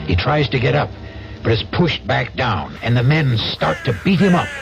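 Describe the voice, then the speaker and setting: A man giving measured, wry, scripted narration for a radio drama. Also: medium pitch, sing-song, gravelly, over music.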